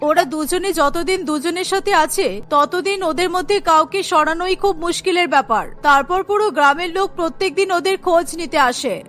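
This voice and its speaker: A woman's voice: extremely high pitch, brisk, hardly any pauses, fairly bright tone, soft background music. A woman voicing a scheming, frustrated female go-between in a cartoon, complaining defensively. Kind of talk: cartoon dialogue acting.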